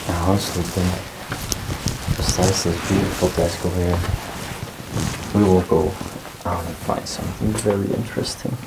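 Young man, very deep voice, slow unhurried talk, brief replies, loud background noise. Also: level tone, creaky. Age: young adult. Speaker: urban explorer. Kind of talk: casual chat. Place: abandoned house, indoors.

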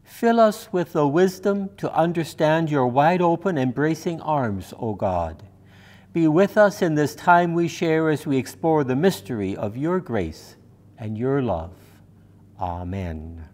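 A man in his seventies reading liturgy and prayers as a minister in a measured, formal, reverent manner. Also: a little high, big pitch swings, a little mellow. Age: 70s. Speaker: minister leading worship. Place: church sanctuary, indoors.

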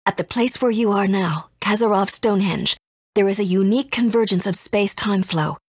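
A woman's voice: medium pitch, fairly quick, a little mellow, husky, no echo. Serious woman leader, mid-range, dry studio sound, composed game dialogue. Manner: serious, explanatory. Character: composed, authoritative woman guiding young heroes. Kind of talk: video game voice acting.

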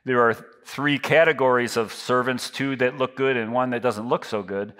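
A man's voice: mid-range voice, steady tone, moderate pace, fairly bright tone. Fifties guy preaching, chatty and self-deprecating, stumbles and restarts a lot, headset mic on a church stage. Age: 50s. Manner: conversational, self-deprecating, frequent restarts and repetitions. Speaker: pastor. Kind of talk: sermon. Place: large church stage with lit backdrop.

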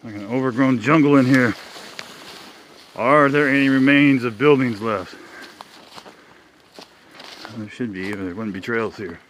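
A man's voice: mid-range voice, unhurried, long pauses, slight background noise. A man in his fifties casually narrating a rainy forest hike, curious and musing aloud, on a handheld phone outdoors. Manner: relaxed, curious, musing aloud while walking. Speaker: vlogger. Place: wet forest trail outdoors, rain.

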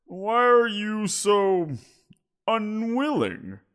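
A man's voice: high-pitched, sing-song, extremely slow, fairly bright tone, clean tone, full character voice. A man voicing a giant wolf creature in an audio roleplay, teasing and amused, chuckling as he talks. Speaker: voice actor.

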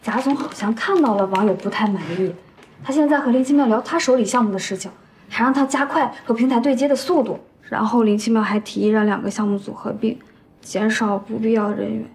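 A young woman playing a gossipy, excitable office colleague in a screen drama, speaking urgently and confidingly. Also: a little high, fairly quick, lightly acted. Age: young adult. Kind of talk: naturalistic screen drama acting.

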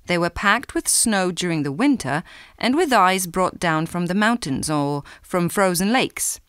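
A woman giving a clear, measured scripted reading for a language listening exam. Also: fairly low pitch, no room sound, studio quality. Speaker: exam recording reader.

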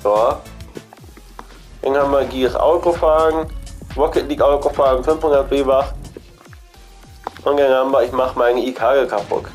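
A man's voice: mid-range voice, steady tone, normal speed, pauses often, soft background music. Chatty man with a cold. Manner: chatty, repetitive, thinks aloud. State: has a cold. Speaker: gaming channel host. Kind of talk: casual game shop commentary.

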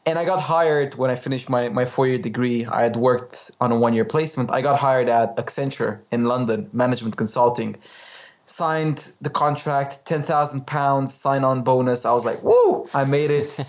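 A man's voice: medium pitch, fairly even intonation, moderate pace. A young man from Romania, telling his story as an interview guest in a chatty, informal way over a video call. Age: young adult. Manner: chatty, laughs easily, loose and informal. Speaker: guest, course creator. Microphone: headphones, video call. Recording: slightly dull call audio.

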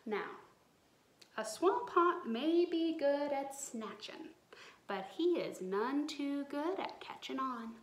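A woman in her thirties reads a children's story expressively, her voice fairly high. She stretches words for drama, and the small room adds echo. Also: expressive, slow. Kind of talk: expressive picture-book read-aloud for children. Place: small bright room indoors. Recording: roomy indoor echo.

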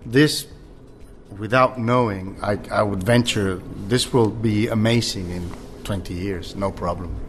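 A man from Chile, a winery general manager, talking casually about wine with many halting restarts. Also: fairly low pitch, fairly even intonation, a little hiss or hum. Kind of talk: casual conversation.

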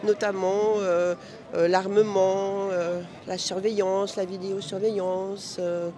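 A woman's voice: mid-range voice, little pitch movement, takes it slowly, warm tone. A woman protester answering a street interview in an outdoor city square, speaking with many hesitant fillers into a handheld mic. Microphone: handheld mic held out to her.

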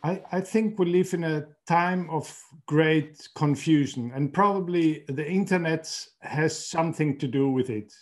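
A middle-aged man from Austria hosting a podcast interview over a video call from his home study, talking haltingly and repeating words as he searches for phrasing. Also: fairly high pitch. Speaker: podcast host. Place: home room with bookshelves.

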